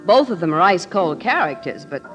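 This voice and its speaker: An actress playing a sensible, dry-witted young woman reporter in 1940s radio drama, in a crisp, expressive manner. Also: fairly low pitch, fairly quick, long reverb tail. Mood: composed, wry. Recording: old radio show with music.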